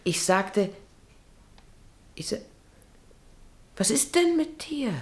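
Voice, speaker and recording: Worried ranch wife for radio play; mid-pitched, hesitant, pleading, full of fretful questions. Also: female voice, very animated, extremely slow. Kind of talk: German radio drama acting.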